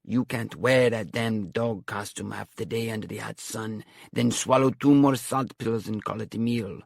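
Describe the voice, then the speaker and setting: A man's voice: on the low side, fairly even intonation, moderate pace, vocal fry, no room sound, studio quality. A man voicing a brassy middle-aged woman fortune teller who scolds and bosses in a raised voice. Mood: scolding. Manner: scolding, loud and insistent.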